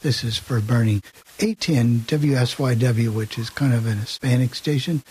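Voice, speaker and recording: A man's voice: medium pitch, moderate pace, a little mellow, a little hiss or hum. A man hosting a casual radio talk show in an informal, teasing way.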